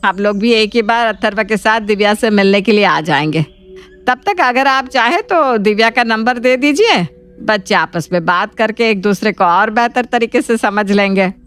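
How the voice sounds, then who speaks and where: A woman's voice: mid-range voice, moderate pace, a bit bright, clear, resonant tone, lightly acted. A woman voicing a polite, agreeable middle-aged mother of a groom, speaking formally in a cartoon story. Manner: courteous, formal.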